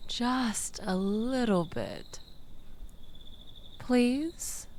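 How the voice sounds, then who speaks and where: Slow, teasing manipulative ex-girlfriend played by an actress. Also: a little high, animated, darkish tone, a little hiss or hum, full character voice. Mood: wheedling.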